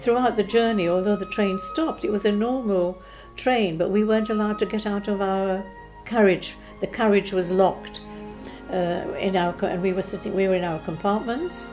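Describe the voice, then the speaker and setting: A woman in her eighties, originally from Czechoslovakia, recalling her childhood gently and unhurriedly, with pauses and restarts. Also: medium pitch, steady tone, faint music behind. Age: 80s. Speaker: interviewee. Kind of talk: recounting childhood memories. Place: living room, seated in armchair.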